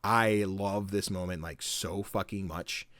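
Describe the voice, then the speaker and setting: A young man co-hosting a casual podcast, talking excitedly, swearing often and acting out game dialogue in higher voices. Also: a little slow, no echo, clean studio sound. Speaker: podcast co-host.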